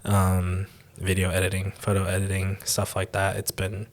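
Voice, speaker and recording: A young man who grew up abroad, answering interview questions as a church intern, with many pauses and restarts. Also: low-pitched, monotone. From raised in Taiwan, born in the United States. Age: young adult. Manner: hesitant, many ums and restarts.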